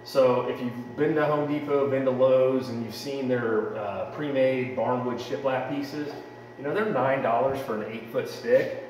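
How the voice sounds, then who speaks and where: A man's voice: mid-range voice, fairly even intonation, unhurried, darkish tone, recorded from a distance, slight background noise. A man in his thirties casually walking viewers through a DIY woodworking project, chatty and rambling, in a large unfinished basement. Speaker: home renovation vlogger. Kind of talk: casual how-to explanation. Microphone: camera-mounted mic, a few steps away. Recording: roomy echoey basement, voice off-mic.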